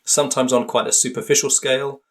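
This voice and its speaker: A man's voice: medium pitch, little pitch movement, fast talker. A man speaking as a guest linguist in an interview, thoughtful and hesitant, often restarting his sentences and searching for words. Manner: hesitant, many restarts and fillers.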